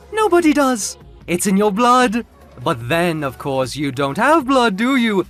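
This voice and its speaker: Camp male fashion tycoon, acted by a man, effusive, domineering, raised pitch, drawn-out emphasis, audio drama. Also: a bit bright, exaggerated voice. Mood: pointed, teasing. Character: tall, elegant, camp male fashion boss, smirking, domineering.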